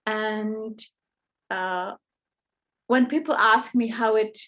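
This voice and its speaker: A woman's voice: a little high, slow pace, fairly bright tone, dull audio. Czech-accented woman giving a personal talk. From Czech Republic. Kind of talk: informal personal talk to a group.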